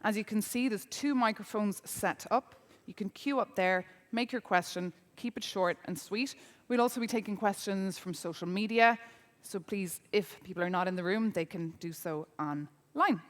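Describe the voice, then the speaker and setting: Irish woman moderating in a hall. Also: medium pitch. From Ireland. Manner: upbeat, welcoming, brisk instructions. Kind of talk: conference moderating. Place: large conference hall. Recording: reverberant conference hall.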